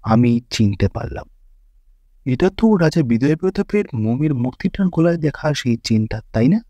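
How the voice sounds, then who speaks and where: A man's voice: mid-range voice, animated, moderate pace, mellow, dry recording, dull audio. A man reading a horror story as dramatic scripted narration.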